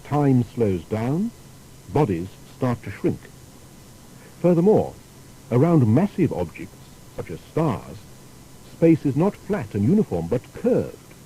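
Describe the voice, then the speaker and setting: A male narrator delivers a scripted documentary with emphatic phrasing. His voice is very mellow and dark, with lively melodic movement, over faint noise. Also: medium pitch, takes it slowly, low-quality recording.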